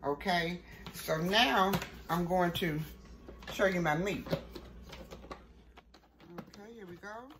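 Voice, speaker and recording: A woman's voice: fairly low pitch, slow pace, long pauses. A middle-aged woman hosting a casual home cooking demonstration, talking in a folksy way and checking in with the viewer. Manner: folksy, often ending statements with "okay?". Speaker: home cooking show host. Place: home kitchen, later outdoors at a grill at night. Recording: noisy home video, clear background noise.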